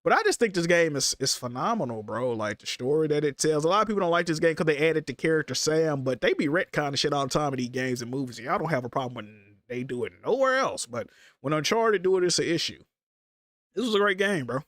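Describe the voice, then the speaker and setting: A man's voice: fairly high pitch, lively intonation, quick pace, a bit bright, dry recording, clean studio sound. A man hosting a casual livestream, talking in loose slangy banter and swearing often, sounding congested. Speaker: streamer host.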